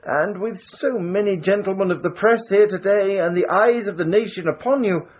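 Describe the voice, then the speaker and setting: An actor playing a high-handed Edwardian gentleman adventurer in a radio period drama, grandiloquent and teasing. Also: normal speed, dull audio. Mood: grand, flattering.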